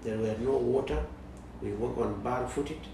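A man in his forties from South Sudan, a guest in an interview, speaking slowly and haltingly with many pauses. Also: mid-range voice. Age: 40s. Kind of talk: interview conversation. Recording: distant mic in echoey room, noisy.

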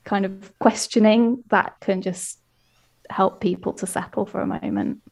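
A woman coach talking calmly and in a measured way in a podcast conversation. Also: mid-range voice, gravelly. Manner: calm, measured, soothing. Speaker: ADHD coach.

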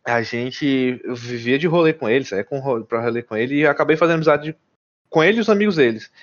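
A man's voice: medium pitch, expressive, fast, highs cut off. A Brazilian man casually recounting his trip, rambling with restarts and slang. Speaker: guest telling about living abroad. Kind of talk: casual chat.

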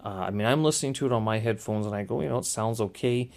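A man with a naturally loud voice hosting a casual solo podcast, hesitant and often correcting and rephrasing himself as he goes. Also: on the low side, fast talker, vocal fry. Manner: hesitant, self-correcting, circling back to rephrase. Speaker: podcast host. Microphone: small handheld recorder or podcast microphone.

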